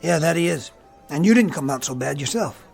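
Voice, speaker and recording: An actor playing a troubled but kindly army lieutenant in a war radio drama, casual and subdued. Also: male voice, a little high, expressive, fairly quick, gravelly, darkish tone, faint music behind. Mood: casual, reassuring. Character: troubled young army lieutenant, kindly to his wounded soldier. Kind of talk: audio drama acting.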